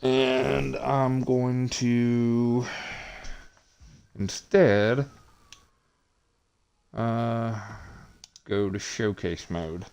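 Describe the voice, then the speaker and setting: A man streaming a wrestling video game, talking in a monotonous, hesitant way with many pauses and fillers. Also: medium pitch, vocal fry, smooth. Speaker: gaming streamer. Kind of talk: casual game commentary.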